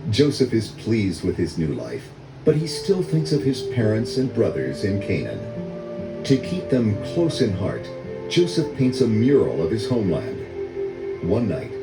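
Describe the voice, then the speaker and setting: An older man reading a children's story in a measured, dramatic storytelling manner. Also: lowish voice. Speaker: read-along story narrator. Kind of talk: scripted children's story narration. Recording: roomy echo, music under voice. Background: orchestral music.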